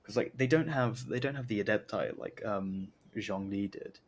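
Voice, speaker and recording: A man streaming a game with casual, halting, slightly mumbly commentary that rambles along. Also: fairly low pitch, a little hiss or hum. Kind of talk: casual live gameplay commentary. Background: game music.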